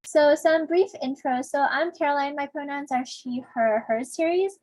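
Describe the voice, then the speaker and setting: A woman's voice: high voice, normal speed, a little mellow, no echo, poor audio. A young woman from Southern California, a teaching assistant casually opening an online discussion section over a video call, chatty, with lots of fillers and self-deprecating asides. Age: young adult.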